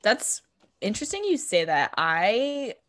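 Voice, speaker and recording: A young woman with a booming voice, co-hosting a podcast and recapping a TV episode in casual, filler-heavy chat. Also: very animated, measured pace, a bit bright, dry recording, studio quality. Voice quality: booming voice that projects. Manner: chatty, full of fillers like "like" and "um". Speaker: podcast co-host.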